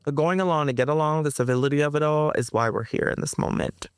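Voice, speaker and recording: A woman being interviewed as a playwright, talking in a chatty, animated way, with frequent fillers and bits of laughter. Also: sits low, fast talker, smooth, dry recording, clean studio sound. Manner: animated, chatty, addresses listeners as y'all. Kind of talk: casual interview conversation.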